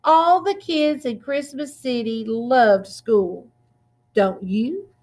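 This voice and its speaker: A woman reading a children's picture book aloud in a deliberate, expressive way, drawing out words. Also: on the high side. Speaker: children's storytime reader.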